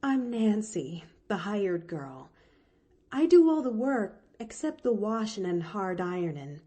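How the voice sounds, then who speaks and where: A woman's voice: mid-range voice, very animated, unhurried, mellow. Brief: young country servant girl, plainspoken, stumbling over words, storybook, read by a woman. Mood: flustered. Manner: plainspoken, rural grammar, stammering.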